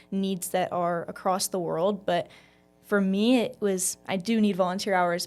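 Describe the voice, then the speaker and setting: A woman's voice: mid-range voice, darkish tone. A young woman from Texas chatting casually as a student guest, often dropping "like" into her talk. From Texas, United States. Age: young adult. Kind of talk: casual chat.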